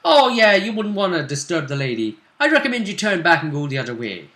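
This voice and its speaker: A cheeky male elf, as a game master puts him on, pitched up and evasive. He warns travellers off in a tabletop fantasy game, heard in a roomy, echoing space. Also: very animated, fast, sharp tone. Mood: warning, airy.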